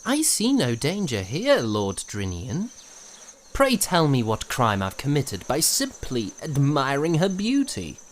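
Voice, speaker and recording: A woman's voice: a bass voice, wildly swooping pitch, takes it slowly, a little hiss or hum, full character voice. A woman playing a proud, lovestruck young prince who speaks in formal, archaic courtly language for an audio drama. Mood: calm, assured. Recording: music under the voice.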